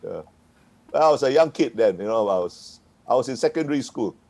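A man's voice: mid-range voice, lively intonation, fairly quick, creaky, darkish tone. A man, a retired diplomat answering interview questions in a frank, wry way, often chuckling. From Singapore. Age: 60s.

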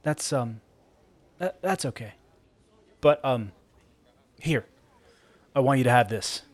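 Man reading as frail homeless woman, shy, halting, stammering, grateful, audiobook dialogue. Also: mid-range voice, animated, no room sound. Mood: hesitant, resigned.

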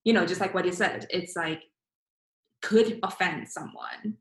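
A young woman from Singapore hosting a casual interview, chatty and quick to restart her sentences. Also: on the low side. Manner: chatty, restarts often, many fillers. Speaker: podcast host. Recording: echoey room.

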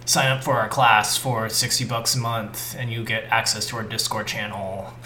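A man co-hosting a casual podcast chat, talkative and quick to laugh, with many "like" fillers. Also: lowish voice, level tone, sharp tone. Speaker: podcast co-host. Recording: roomy echo, faint noise.

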